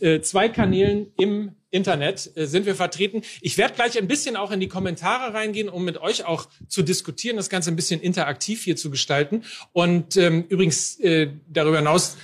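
High-voiced man hosting live. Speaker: host of a live football show. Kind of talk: live show presenting to an audience, chatty.

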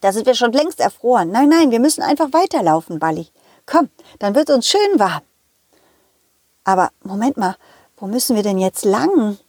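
A woman voicing a cheerful, confident dog hero in a children's audio play, animated and coaxing as the dog talks to young listeners. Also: on the high side, moderate pace, no room sound, studio quality. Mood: chatty, upbeat.

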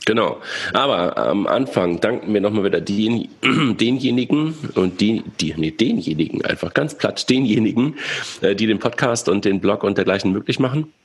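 A man's voice: lowish voice, expressive, normal speed, husky. A man hosting a podcast in casual chat, stuffed-up with a cold, hesitant and laughing often. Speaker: podcast host.

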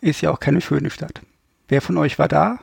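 A man's voice: on the high side, moderate pace, vocal fry, slightly rough, no room sound, clean studio sound. A man hosting a podcast, chatting in a colloquial, informal way. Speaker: podcast host. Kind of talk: casual chat.

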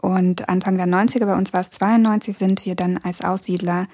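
Brief: female podcast co-host, 30s, from Kazakhstan; friendly, lively interviewer. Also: on the low side, brisk. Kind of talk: podcast conversation, asking questions.

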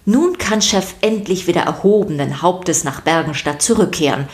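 A woman with a slightly low voice narrates a children's audio story. She reads from a script expressively, addressing her listeners directly as a storyteller would. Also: animated, moderate pace, darkish tone.

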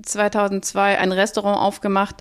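A woman who owns a restaurant, telling its history in a relaxed podcast conversation, rambling with many restarts. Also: mid-range voice, normal speed, clean tone. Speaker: restaurant owner.

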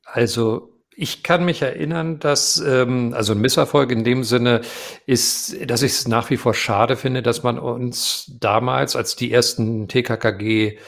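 A man, a voice actor, talking casually in an interview, hesitant with many pauses and fillers. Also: medium pitch, steady tone, vocal fry. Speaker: voice actor guest.

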